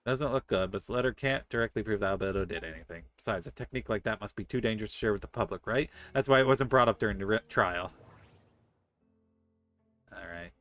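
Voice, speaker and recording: A man's voice: on the low side, fast talker, no room sound. A man streaming a game, reading its text aloud and dropping in casual, joking commentary. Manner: joking asides between read passages. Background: soft game music.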